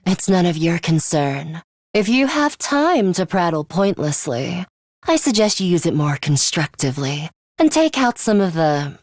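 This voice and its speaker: A woman's voice: on the low side, a little mellow, dry recording, studio quality. A woman voicing an aloof, scornful young female fighter with a sadistic streak in a video game, curt and condescending in manner. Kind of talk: English video game voice acting.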